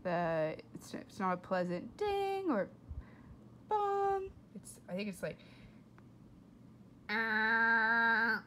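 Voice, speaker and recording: A woman reading a storybook aloud to children, expressive, with simple explanatory asides. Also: medium pitch, slow, slight background noise. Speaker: read-aloud host for children.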